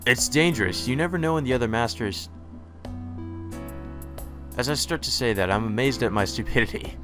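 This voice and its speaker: A man's voice: mid-range voice, moderate pace, over music. A man reading a visual novel aloud in first person as the hero, with sarcastic asides of his own. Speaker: let's play narrator.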